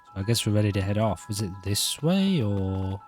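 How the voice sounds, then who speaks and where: A man's voice: fairly low pitch, very animated, normal speed, a little mellow, soft background music. A man hosting a gaming channel, giving casual, rambling let's play commentary and repeating himself.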